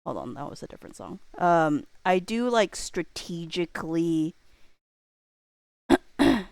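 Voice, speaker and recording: Low-pitched woman, casual streamer, dry close sound. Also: very animated, darkish tone, dry recording. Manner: rambling, thinking aloud; playful, quick to laugh. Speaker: livestreamer and host reading chat. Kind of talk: casual livestream chat.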